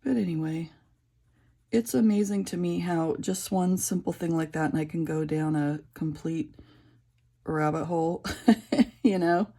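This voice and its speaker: A middle-aged woman with a low, raspy voice and a gravelly edge talks while coloring. Her tone is dark and mellow. She rambles casually, with plenty of fillers and restarts. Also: fairly even intonation, measured pace, pauses often. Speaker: coloring channel creator.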